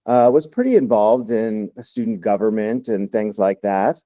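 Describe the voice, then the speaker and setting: A man in his fifties from Texas, a podcast guest telling his story in a relaxed, reflective way with many fillers. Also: on the low side, a little slow, no room sound, lo-fi sound. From Texas, United States. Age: 50s. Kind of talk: interview storytelling.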